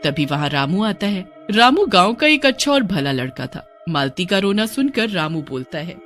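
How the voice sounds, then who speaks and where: A woman's voice: on the low side, big pitch swings, normal speed, fairly bright tone, soft background music. A woman narrating a scripted horror folk tale as a storyteller.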